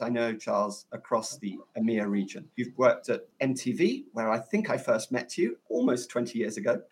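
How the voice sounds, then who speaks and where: Enthusiastic male podcast host. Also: mid-range voice. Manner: enthusiastic, effusive introductions. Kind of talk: interview hosting. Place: hotel room.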